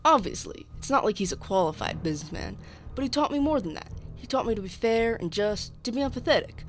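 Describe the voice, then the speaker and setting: A woman's voice: on the high side, expressive, quick pace, lightly acted. A woman playing an irked, defensive young man, a mayor's resentful son, in a radio drama.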